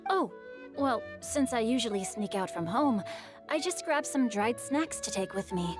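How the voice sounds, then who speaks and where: A woman's voice: on the high side, expressive, normal speed, over music, lightly acted. A woman voicing a refined, bookish and mischievous young boy poet in a video game, speaking eloquently and with composure. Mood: breezy, mischievous. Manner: eloquent, composed, literary phrasing.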